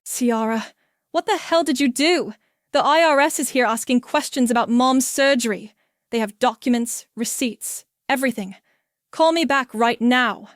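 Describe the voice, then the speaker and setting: Sex: woman voicing a man. Figure: a woman playing a furious husband, accusing and pressing. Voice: fairly high, dry close sound. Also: lively intonation, a little slow, no room sound. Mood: angry. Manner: angry, pressing, raised voice. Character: furious middle-aged husband, pleading and blaming.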